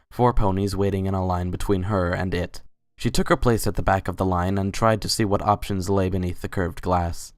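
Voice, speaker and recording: A man's voice: deep voice, no echo, studio quality. A man reading scripted story narration in a dramatic reading. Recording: music under the voice. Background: background music.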